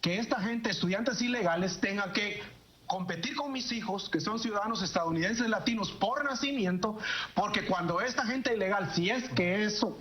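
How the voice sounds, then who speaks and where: High-pitched man arguing his case. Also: normal speed, fairly bright tone. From Guatemala. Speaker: interviewee. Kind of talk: argumentative interview answer. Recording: dull archive video clip.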